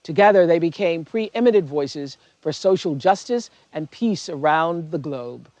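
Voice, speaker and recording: A man's voice: fairly high pitch, lively intonation, measured pace, a little mellow, no room sound. A man hosting a tribute event, giving a formal scripted introduction before a film segment. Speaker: event host. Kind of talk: scripted presentation. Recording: dull, dry recording.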